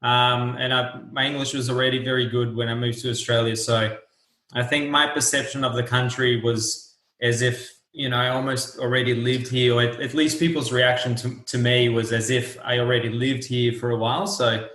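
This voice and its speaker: A man's voice: medium pitch, level tone, normal speed, fairly bright tone. A man in his thirties from Portugal, a native Portuguese speaker, chatting easily as an interview guest. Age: 30s. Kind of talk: casual interview chat. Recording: roomy echo.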